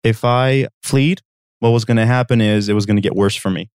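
A man's voice: fairly low pitch, fairly quick, darkish tone, clear, resonant tone, no room sound, clean studio sound. A man in his thirties from Armenia, a podcast host telling a personal story in an earnest, direct way and checking in with listeners. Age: 30s.

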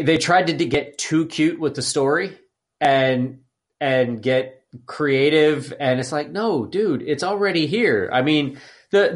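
A man co-hosting a podcast, giving casual opinions in an exasperated, halting, repetitive way. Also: on the high side. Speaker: podcast co-host. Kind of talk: casual chat, opinionated commentary.

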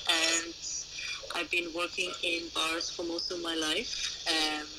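Female interview guest from Norway, unscripted and pausing often, recorded over noticeable ambient noise. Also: lowish voice, little pitch movement. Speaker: interview guest, bar owner. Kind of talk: casual interview answers. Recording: clearly noisy, background ambience. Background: background noise of an open bar.

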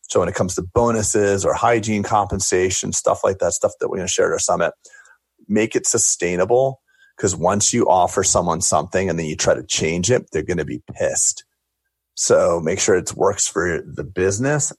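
A man's voice: sits low, moderate pace, breathy edge, no echo. A man in his forties, a talkative dentist guest chatting in a casual interview, reflective and joking as he answers. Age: 40s.

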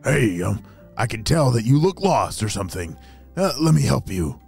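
A storyteller voicing a sly, coaxing male wolf with a gravelly, husky voice in a children's story. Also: mid-range voice, very animated, normal speed, darkish tone, soft background music, voice pushed down, full character voice.

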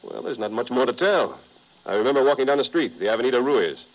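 An actor playing a hungover, irritable American playboy who talks in weary casual slang in a 1950s radio drama. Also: male voice, medium pitch, lively intonation, fast talker, natural voice, rough recording. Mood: weary, candid.

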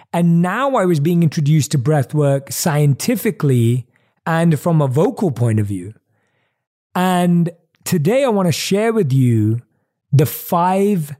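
A man giving a motivational talk, telling a reflective story and slowing down and stretching words to stress them. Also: fairly high pitch, mellow. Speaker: host.

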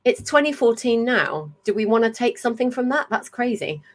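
A woman, hosting a casual chat over a video call, talkative and quick to laugh and swear. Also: on the high side, very animated. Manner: chatty, swears freely, laughs often. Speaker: podcast host. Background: faint fan hum.

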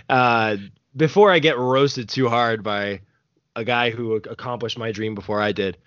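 A man's voice: medium pitch, unhurried, fairly bright tone, no echo, lo-fi sound. A man in his early twenties with an American accent hosting a casual podcast in a playful, slangy way. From Arizona, United States. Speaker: podcast host.

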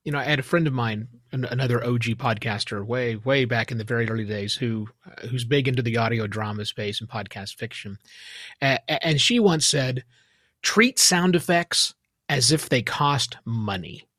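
A man's voice: medium pitch, moderate pace, pushed and bright, no room sound, clean studio sound. A man hosting a podcast interview in a casual, conversational and enthusiastic way. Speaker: podcast host interviewing a guest.

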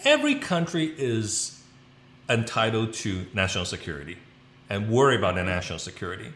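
A man's voice: lowish voice, very animated, a little fast, a bit bright, a little hiss or hum. Middle-aged guy, rambly and earnest, lots of restarts, echoey room. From Hong Kong. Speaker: interview guest. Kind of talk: candid interview answers.

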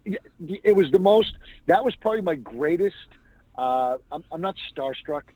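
A male comedian from New England tells anecdotes in an easy, conversational way. His voice is mellow and warm, and the recording sounds dry and close to the mic. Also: on the high side, lively intonation, normal speed, dry recording, rough recording. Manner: chatty anecdotal storyteller. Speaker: stand-up comedian and guest. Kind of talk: casual storytelling interview.

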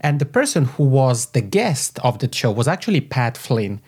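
A man's voice: medium pitch, very animated, normal speed, darkish tone, breathy edge. A man in his thirties from the Italian-speaking part of Switzerland, with a Swiss Italian accent, answering as a podcast guest in an eager, expansive way from his home office on a podcasting microphone. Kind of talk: conversational interview answers.

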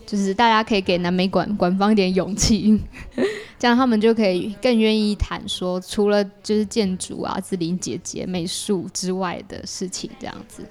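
A woman with a Taiwanese accent explains a creative project in casual interview chat, often softening her points with small asides. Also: mid-range voice, normal speed, faint music behind. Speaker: podcast guest, audio drama creator. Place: small partitioned dessert cafe in an alley.

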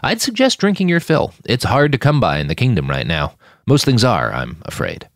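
A man's voice: medium pitch, wildly swooping pitch, moderate pace, dry recording, studio quality, pitched above the performer's own voice. A man voicing a pious, bookish younger smuggler in an audiobook, haggard and formal like a preacher. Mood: weary welcome.

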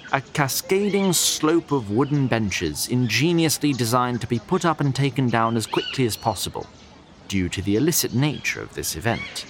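An actor playing a haughty, wry otherworldly narrator of a fantasy audio drama, with ornate, deliberate, condescending phrasing. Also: male voice, medium pitch, a little hiss or hum, close to an everyday voice. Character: haughty, wry otherworldly storyteller addressing lesser mortal listeners. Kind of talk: theatrical scripted fantasy narration. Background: music under the voice.

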